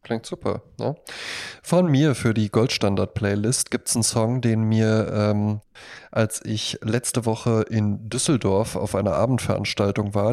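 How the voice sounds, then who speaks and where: A man's voice: on the low side, mellow. A man hosting a podcast, chatting casually about music and telling stories in an anecdotal, conversational way. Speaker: podcast host.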